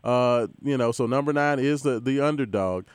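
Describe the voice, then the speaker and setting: A man hosting a casual sports betting chat, easygoing and quick to laugh, hand-offs loose with fillers. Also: mid-range voice, clear, resonant tone, dry recording. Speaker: host.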